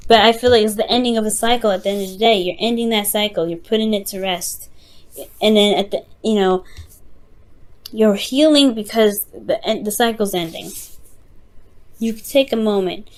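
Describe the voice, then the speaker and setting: A woman reading tarot unscripted, talking straight to the listener in a halting, reassuring way, often repeating and rephrasing herself. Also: medium pitch. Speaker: tarot reader, host.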